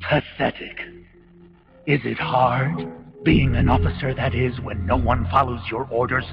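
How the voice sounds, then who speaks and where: A man playing a gruff, weary, principled soldier in a video game, gravelly, terse and deadpan. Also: medium pitch, a little slow. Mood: cool, probing. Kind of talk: dramatic video game acting. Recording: muffled game audio under music. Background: loud music.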